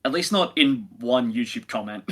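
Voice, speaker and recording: A man with a bright, forward voice at middling pitch. He talks casually as a podcast co-host, pushing his opinions with emphatic phrasing. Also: expressive, measured pace, husky. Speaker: podcast co-host reviewing audio dramas.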